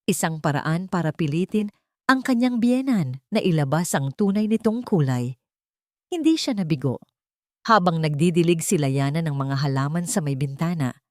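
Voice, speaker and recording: A woman's voice: deep voice, expressive, normal speed, a little mellow, no room sound, clean studio sound. A woman with a Filipino accent reads a dramatic scripted love story as its narrator.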